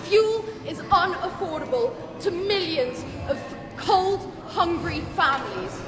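A woman protester with a very high voice, declaiming emphatically to make her point. Music and crowd noise are clearly heard behind her. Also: measured pace. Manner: emphatic, declamatory rhetorical questions. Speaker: climate protester. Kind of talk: shouted protest speech.

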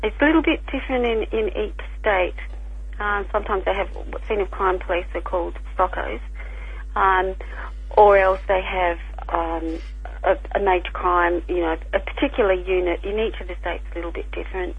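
Croaky, raspy woman on a phone line. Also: medium pitch, steady tone, moderate pace. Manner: careful, explanatory, with small restarts. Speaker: guest scientist and author. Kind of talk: radio interview, explaining.

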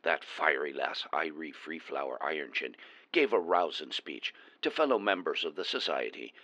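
Guy doing a deep gravelly dwarf, lively wry storyteller, dry sound. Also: moderate pace, dry recording, exaggerated voice. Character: folksy gravel-voiced male dwarf reporter. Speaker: remote correspondent.

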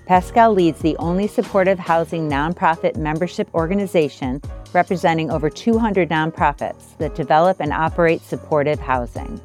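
Brief: woman co-host, very low voice, measured scripted delivery, soft music under. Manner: measured, reading a prepared script. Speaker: podcast co-host. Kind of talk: scripted intro, then interviewing.

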